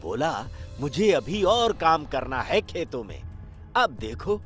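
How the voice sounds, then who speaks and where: A man's voice: a little high, big pitch swings, slow pace, exaggerated voice. A man voicing a timid, plain-spoken village farmer in a cartoon story, with a hesitant, exaggerated delivery. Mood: grieving. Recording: loud music behind.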